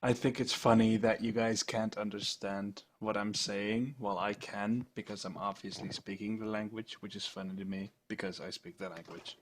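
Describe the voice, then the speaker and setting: A man's voice: fairly low pitch, fairly even intonation, normal speed, few pauses. A man from the Netherlands with a Dutch accent, teasing and joking in a casual group voice call. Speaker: stream host.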